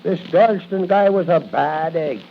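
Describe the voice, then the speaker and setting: A man's voice: on the high side, moderate pace, natural voice. An actor playing a lazy, boastful policeman in a 1940s radio drama, with stammering, folksy bluster. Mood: folksy boasting. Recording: noisy, muffled 1940 radio recording.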